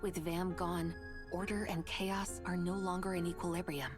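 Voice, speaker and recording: Woman as calm otherworldly game character, lowish, formal and grave, music behind. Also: normal speed, natural voice. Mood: solemn. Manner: formal, composed. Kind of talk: video game voice acting.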